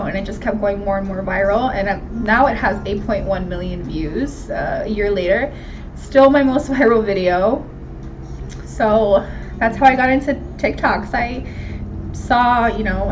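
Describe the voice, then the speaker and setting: American girl late 20s doing a chatty q&a vlog, kinda high voice, slangy, dull lofi audio w some background noise. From Miami, Florida. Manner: candid, slangy, swearing, self-mocking. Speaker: video host answering viewer questions.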